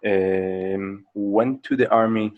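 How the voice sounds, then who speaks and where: A man, a Hebrew speaker, answering as a guest in a casual interview, talking in halting bursts with many fillers and restarts. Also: fairly low pitch, fairly even intonation, phone-quality sound. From Israel.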